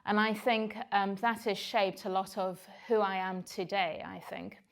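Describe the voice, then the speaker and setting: A woman's voice: mid-range voice, steady tone, a little slow. A woman in her forties from South Africa with a South African accent, giving a candid personal talk to an audience, reflective and full of "um" fillers. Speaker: speaker sharing her story on stage.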